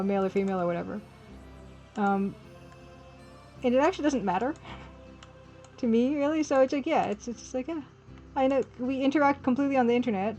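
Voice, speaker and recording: Female streamer, fairly high voice, informal think-aloud chat while drawing, faint music underneath. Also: darkish tone, muffled recording. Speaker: livestream host and pixel artist.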